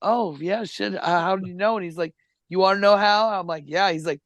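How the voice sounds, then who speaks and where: A man's voice: high-pitched, a little fast, dry recording. A man with an Albertan Canadian accent, co-hosting a podcast in crude, casual chat, swearing freely and laughing often. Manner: profane, laughs often, animated storytelling. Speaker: podcast co-host.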